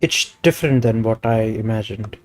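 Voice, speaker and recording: A woman's voice: extremely low pitch, normal speed, background noise. A woman with an Indian accent streaming a game, reading dialogue aloud and commenting casually in mixed Hindi and English. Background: game music.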